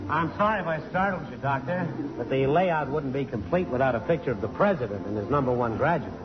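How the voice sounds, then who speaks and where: An actor playing a sardonic, needling magazine reporter in a radio drama, dry, blunt and wisecracking. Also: male voice, medium pitch, expressive, quick pace, lightly acted. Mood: dry, curious. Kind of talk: 1950s radio drama acting. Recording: dull old radio broadcast, music.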